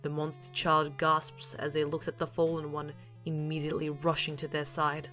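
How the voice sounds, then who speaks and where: Low-pitched woman narrating over music. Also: completely flat delivery, normal speed, a bit bright. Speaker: narrator and series co-creator. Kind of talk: scripted narration.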